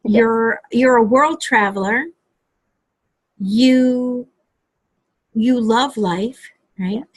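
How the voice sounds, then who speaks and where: A woman's voice: on the high side, drawn-out words, dry recording, rough recording. A woman hosting a live stream interview on a video call, talking hesitantly with many ums and restarts. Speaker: host.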